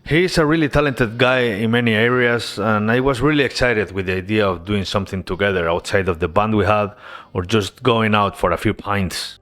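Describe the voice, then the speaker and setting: A man narrating his game-development devlog as a voice-over, earnest and relaxed, with casual asides. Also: on the low side, moderate pace.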